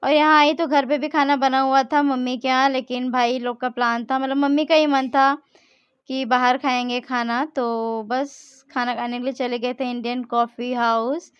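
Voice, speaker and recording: Chatty high-voiced Indian woman vlogger. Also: level tone, normal speed, clean tone, no room sound, highs cut off. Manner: chatty, rambling, frequent self-corrections. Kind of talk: casual vlog narration.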